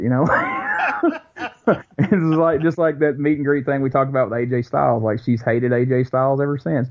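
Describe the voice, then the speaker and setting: A man with a Chicago accent, a podcast guest telling animated, profane stories in casual chat. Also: mid-range voice. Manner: animated, profane anecdote teller. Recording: dull lo-fi recording.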